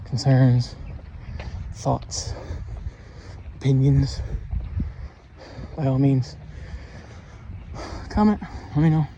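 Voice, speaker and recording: A man of forty talking casually in a halting, self-deprecating way, thinking aloud as he rambles through a vlog. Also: medium pitch, fairly even intonation, mellow. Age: 40s. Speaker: vlogger. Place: outdoors, walking along a neighborhood street. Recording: dull phone audio, noisy street ambience with traffic.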